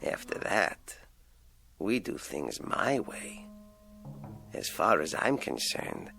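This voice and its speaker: A man's voice: low voice, sing-song, slow pace, creaky, pushed and bright, soft background music, exaggerated voice. A man playing a sardonic, bullying ventriloquist's dummy who needles and wisecracks in a radio drama. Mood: menacing.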